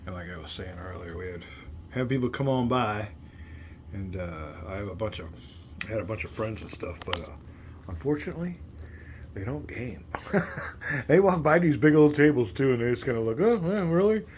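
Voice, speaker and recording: A man hosting a casual board game playthrough, rambling and chatty, muttering rules half under his breath and sounding a little hoarse. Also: medium pitch, expressive, normal speed, a little mellow, slight background noise. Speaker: board game video host. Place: home room with game tables.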